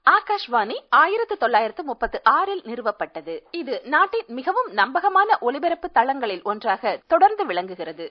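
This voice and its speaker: High, very lively female Tamil news reporter. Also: moderate pace, hardly any pauses, dull audio. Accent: Indian Tamil. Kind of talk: formal scripted news report.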